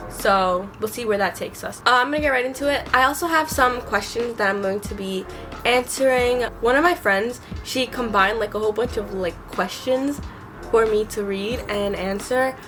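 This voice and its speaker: A woman's voice: fairly high pitch, normal speed, a bit bright. A young woman vlogging while she does her makeup, rambling with lots of "like" and "um", recovering from being sick. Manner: rambling, many fillers like "like" and "um". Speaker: beauty vlogger. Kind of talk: casual chat while doing makeup. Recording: clear background music.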